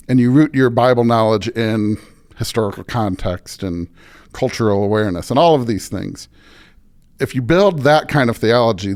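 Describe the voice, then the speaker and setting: A man speaking as a pastor in a thoughtful interview, earnest and reflective, often repeating phrases for emphasis. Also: mid-range voice. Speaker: pastor and podcast guest.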